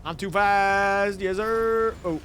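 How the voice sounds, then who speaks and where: Casting: guy streaming games, bright high voice, slangy and playful, swearing freely, music behind. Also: fairly even intonation, slow pace. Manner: slangy, playful, profane, hype reactions. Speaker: game streamer. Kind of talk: casual live gameplay commentary.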